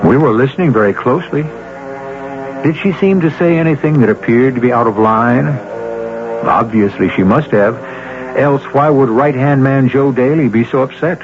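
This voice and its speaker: A man hosting a radio drama with theatrical scripted narration, measured, wry and full of rhetorical questions. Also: medium pitch, over music. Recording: muffled, noisy old radio broadcast.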